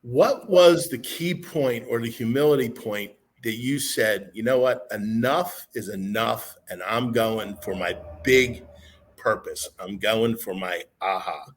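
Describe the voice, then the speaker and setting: A man with a raspy voice hosting a podcast interview, encouraging and motivational, rambling a little as he asks his questions. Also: on the low side, measured pace, slight background noise. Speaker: podcast host.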